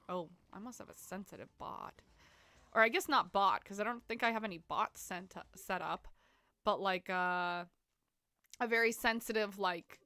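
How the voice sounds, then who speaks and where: Congested young woman streamer, bright voice. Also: medium pitch, no room sound. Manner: chatty and giggly, many fillers. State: congested with a cold. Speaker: game streamer. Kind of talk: casual live-stream gameplay chat.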